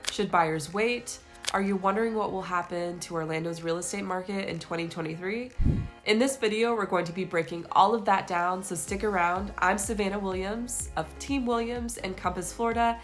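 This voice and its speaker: A woman's voice: mid-range voice, moderate pace. A young woman, a real estate agent, giving a scripted market update to camera, her voice nasal from a cold. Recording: faint music behind.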